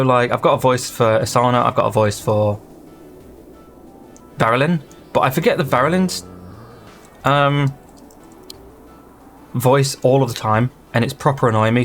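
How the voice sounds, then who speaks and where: A man's voice: medium pitch, a bit bright. Rambling man narrating gameplay. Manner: rambling, thinking aloud, easily sidetracked. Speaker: gaming channel host. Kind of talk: casual gameplay commentary.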